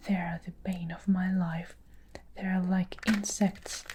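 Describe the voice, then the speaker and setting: A woman's voice: lowish voice, little pitch movement, unhurried, noisy background, natural voice. A woman whispering the lines of a furious, child-hating giant of a headmistress who snarls insults. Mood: scornful.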